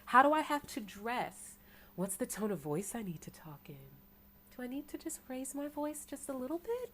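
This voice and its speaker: Casting: American woman, tarot reader, deep voice, preaching tone, rhetorical questions, quick bursts. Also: big pitch swings, a bit bright, a little hiss or hum. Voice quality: self-described deep voice. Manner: preachy, rhetorical, asks "right?" often, bursts of fast talk. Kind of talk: tarot sermon to an online audience.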